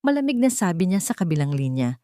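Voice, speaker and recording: A woman narrating a scripted dramatic story, phrasing it deliberately with short pauses. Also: on the low side, very animated, warm tone, dry recording, clean studio sound.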